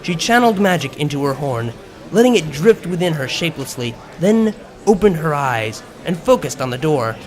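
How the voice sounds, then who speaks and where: A man reading scripted fiction as an expressive narrator, audiobook style. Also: a little high, moderate pace. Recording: music behind the voice.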